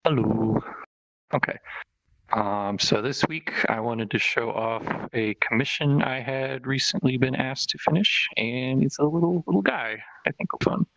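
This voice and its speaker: A man's voice: medium pitch, unhurried, gravelly, fairly bright tone, dry recording. A man presenting an avatar he made, in a casual, hesitant way with many fillers and restarts. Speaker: avatar creator presenting his work. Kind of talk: casual show-and-tell presentation. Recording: dull lo-fi voice chat audio.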